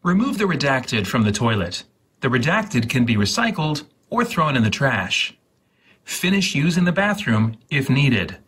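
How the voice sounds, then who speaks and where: A man reading an instruction guide aloud in a mock-serious, theatrical, deliberate way, with a husky voice. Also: mid-range voice, fairly bright tone. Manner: theatrical, deliberate, repeats words for emphasis.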